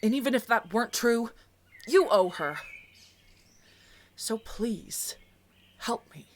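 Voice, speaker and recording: A woman's voice: on the high side, expressive, slow pace, slight background noise. A woman playing a loyal, urgent palace informant in a fantasy audio drama, speaking in an earnest, conspiratorial way. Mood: pleading.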